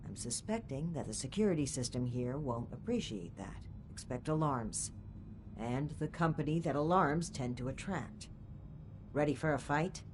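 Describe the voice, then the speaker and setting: A woman playing a determined, wry technical expert who gives instructions under fire in a video game, steady and purposeful. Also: very deep voice, moderate pace, background noise. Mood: tense, focused. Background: game music.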